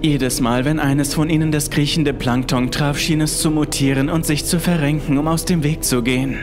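A man's voice: a little high, fairly even intonation, moderate pace. A man reading a scripted first-person horror story as its narrator. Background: music.

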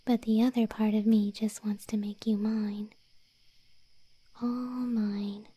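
A woman voicing a possessive, obsessive moth girlfriend in an ASMR roleplay, sweetly menacing in slow, breathy, cooing whispers close to the microphone. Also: mid-range voice, flat delivery, mellow. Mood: yearning, possessive. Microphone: close microphone, dry.

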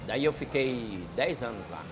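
A man's voice: medium pitch, expressive, normal speed, over music. A man in his fifties with a northeastern Brazilian accent, plainly answering questions as a snack bar owner. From Ceará, northeastern Brazil. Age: 50s. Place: small snack bar, indoors.

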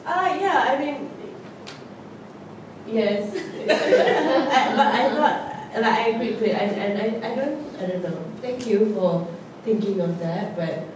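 A woman, an actor on a post-show panel, talking in a bubbly way with her pitch jumping high. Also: takes it slowly. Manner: bubbly, laughing, lively pitch jumps. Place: large theatre stage. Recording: echoey theatre from a distance with noise.

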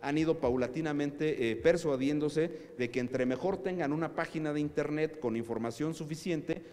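A man's voice: a little high, normal speed, darkish tone. Echoey Mexican man giving a formal talk. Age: middle-aged. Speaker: conference speaker. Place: hotel conference room, indoors. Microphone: podium microphone. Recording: echoey hall, distant, faint noise.

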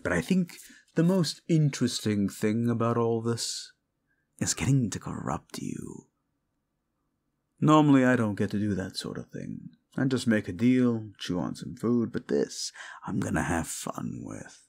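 Male actor as a mocking demon for audio roleplay: low, creaky, sarcastic and gleefully cruel. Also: big pitch swings, unhurried, darkish tone, full character voice. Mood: menacing, gloating. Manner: sarcastic, taunting, drawling. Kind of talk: intimate audio roleplay addressed to the listener.

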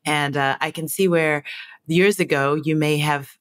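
A woman's voice: low voice, fairly even intonation, measured pace. A woman hosting an interview podcast, chatting and affirming her guest over a video call. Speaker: podcast host. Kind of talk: casual interview chat.

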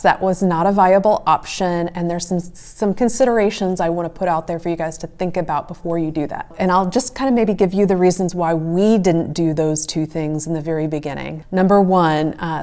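Mellow, low-voiced woman explaining things casually. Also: normal speed, hardly any pauses. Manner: explanatory, conversational. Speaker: flower farmer and host. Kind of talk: advice chat to camera. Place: small wood-panelled room indoors by a stove.

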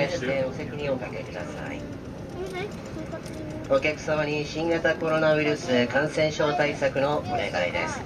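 A man making a polite, formal scripted announcement to passengers as a train conductor. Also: a little high. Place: inside a moving train. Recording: distant train PA, clear running noise. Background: train running noise.

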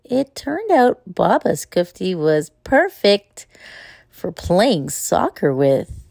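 A woman reading a children's picture book aloud, narrating as the story's child with expressive, deliberate phrasing. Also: fairly low pitch, vocal fry, no echo, clean studio sound. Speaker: storybook reader.

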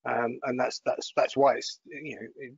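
Scottish man, 60s, gravelly husky voice, rambling and repetitive, dry lo-fi call audio. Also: mid-range voice, flat delivery, normal speed, no room sound. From Scotland. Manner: rambling, with many repetitions and self-deprecating asides. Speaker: guest. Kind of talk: interview answers. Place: home, on a video call. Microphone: video call microphone.

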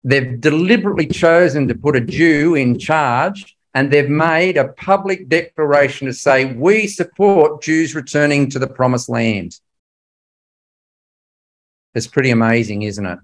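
A man's voice: fairly high pitch, measured pace. Older man lecturing, emphatic and questioning. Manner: conversational, emphatic, puts rhetorical questions to listeners. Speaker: Bible class speaker. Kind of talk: illustrated history lecture to a religious class.

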